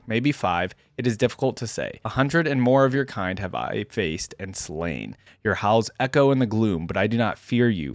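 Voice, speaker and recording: A man reading aloud as a weary, grieving, defiant armored warrior in a solemn, measured storybook manner. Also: on the low side, no echo, clean studio sound, pitched below the performer's own voice. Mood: grim, defiant. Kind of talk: dramatic first-person story reading.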